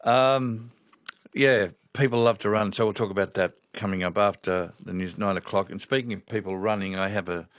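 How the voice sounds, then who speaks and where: A man's voice: fairly low pitch, steady tone, moderate pace, no room sound, studio quality. A man with an Australian accent hosting a radio program, chatting casually and reading out listener messages. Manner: chatty, rambling, reads listener messages aloud. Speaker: radio host.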